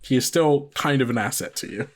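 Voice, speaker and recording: A man working as game master, explaining rules and narrating scenes in an informal, halting way. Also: mid-range voice. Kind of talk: casual actual-play narration.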